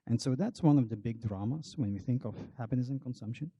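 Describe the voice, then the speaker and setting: A man's voice: medium pitch, fast, mellow, slightly rough, dry recording, dull audio. A middle-aged Belgian man giving a public talk, telling a wry, self-deprecating personal story. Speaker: marketing researcher on a panel.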